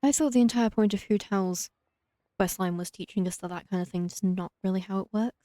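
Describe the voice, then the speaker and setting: A woman's voice: mid-range voice, a little fast, mellow, no room sound. A woman doing casual let's play commentary with dry, wry asides. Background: game music.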